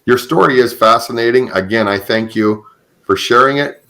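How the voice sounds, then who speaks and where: A man's voice: medium pitch, normal speed, pushed and bright, low-quality recording. A man hosting a podcast in a conversational interview style, speaking affirmingly and repeating phrases for emphasis. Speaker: podcast host. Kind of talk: conversational interview with religious encouragement.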